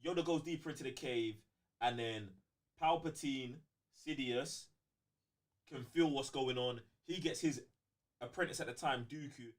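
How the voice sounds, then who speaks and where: A man's voice: mid-range voice, unhurried, pauses often. A man with a London accent in casual fan debate as a co-host, slangy and emphatic, repeating phrases for effect. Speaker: co-host of a fan talk show.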